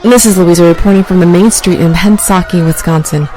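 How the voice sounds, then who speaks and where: A woman plays an on-the-scene news reporter in an audio drama. She delivers her report dramatically, in a middle-to-low voice. Music plays clearly behind her. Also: a little fast, warm tone, close to an everyday voice. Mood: urgent, grave. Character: female field reporter at a riot scene, increasingly frightened.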